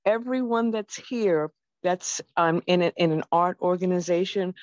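Brisk woman on dull call audio. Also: fairly low pitch, a little mellow, dry recording. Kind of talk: meeting remarks on a video call.

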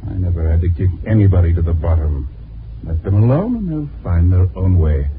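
A man's voice: low voice, big pitch swings, brisk. A man playing a haughty, scheming lawyer with a cold, formal, menacing manner in a 1950s radio drama. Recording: tinny, noisy old radio recording.

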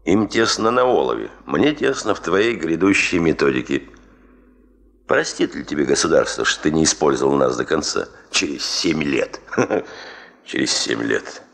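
An actor playing a proud, driven, stubborn expedition chief in a 1970s Soviet radio play, emphatic and self-assured. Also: male voice, rumbling low, animated, a little slow, creaky, fairly bright tone, exaggerated voice. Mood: passionate.

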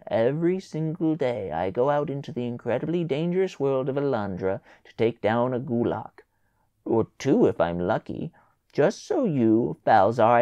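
A man reading a storybook voices a stern, furious mother scolding her daughter, loud and emphatic. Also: mid-range voice, no echo, dull audio, close to an everyday voice.

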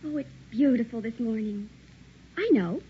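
A woman's voice: fairly high pitch, normal speed, natural voice. A woman playing a devoted, anxious, emotional wife in an early 1960s radio drama. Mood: cheerful, doting. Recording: muffled, hissy old radio recording.

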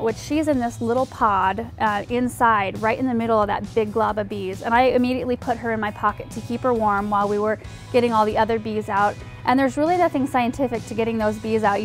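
A young woman hosting a gardening show, chatting casually and explaining things with light laughs and frequent ums. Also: a little high. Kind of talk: casual how-to narration. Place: outdoor backyard garden, windy.

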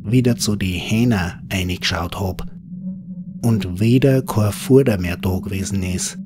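Low, slow Bavarian man telling horror tales. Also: noisy background. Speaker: horror story narrator. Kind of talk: scripted dialect horror storytelling.

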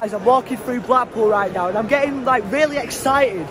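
A man's voice: very high voice, brisk. Cheeky young man vlogging. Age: young adult. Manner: cheeky, mock-polite, excitable. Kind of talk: comic vlog at a magic convention. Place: large indoor hall. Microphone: phone at arm's length. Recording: loud crowd and music behind. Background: crowd chatter and music.

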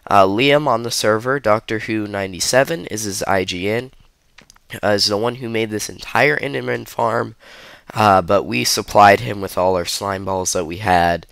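A man's voice: on the low side, moderate pace, creaky, fairly bright tone, clean tone, no echo. A young man with a stuffy nose, hosting a casual game commentary and rambling through it with many ums and uhs. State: stuffy, under the weather. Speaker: gaming video host.

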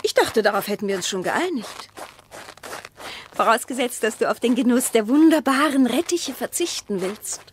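Woman playing a high-voiced, sharp-tongued distrustful wife. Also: animated, normal speed, slight background noise, close to an everyday voice. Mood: suspicious. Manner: pointed, sceptical, precise diction. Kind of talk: radio play acting.

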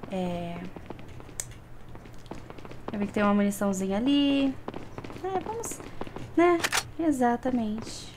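A woman's voice: fairly high pitch, noisy background. A young Brazilian woman giving casual, chatty commentary while playing a game, talking to herself and to the game. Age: young adult. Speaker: gaming streamer. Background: video game ambience.

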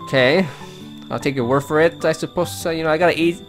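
Male let's play host, casual read-aloud and commentary, slightly high voice over game music. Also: fast.

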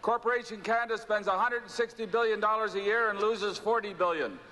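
A man's voice: sits high, steady tone, a little fast, sharp tone. A middle-aged man giving a combative speech in a parliamentary chamber, read from his notes. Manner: combative, pointed. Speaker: member of parliament speaking in the House. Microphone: far desk microphone. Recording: echoing chamber, from a distance.

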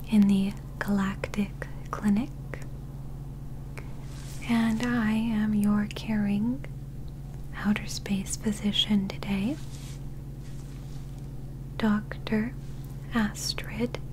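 A young woman playing a calm, caring alien physician in a whispered, gentle ASMR medical roleplay, close to the microphone over background noise. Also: mid-range voice. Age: young adult. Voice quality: whispery, soft. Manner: slow, gentle, clinical, deliberate.

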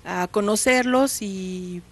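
A woman in her forties, a guest on a studio TV show, calmly explaining a program into a handheld mic. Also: medium pitch, takes it slowly. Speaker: guest, contest organizer. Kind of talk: studio interview. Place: small TV studio set, indoors.

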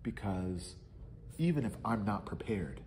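A middle-aged man sits in a large indoor lounge and answers questions in a reflective, earnest way. His voice sits a little low. A faint room hiss runs under the recording. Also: lively intonation, unhurried, a little mellow. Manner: earnest, reflective, gestures while talking. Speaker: interviewee. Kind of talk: casual interview answers.

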